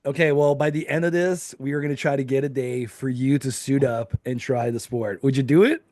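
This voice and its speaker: A man's voice: mid-range voice, no echo, studio quality. A man hosting a podcast interview, gushing and enthusiastic. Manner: excitable, gushing, fast. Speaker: podcast host.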